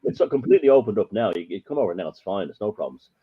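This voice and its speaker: A man's voice: fairly low pitch, sing-song, very fast, breathy edge. An Irish man, a podcast guest, telling stories in a rambling, emphatic way. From Ireland. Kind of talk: casual storytelling chat. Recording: muffled lo-fi audio.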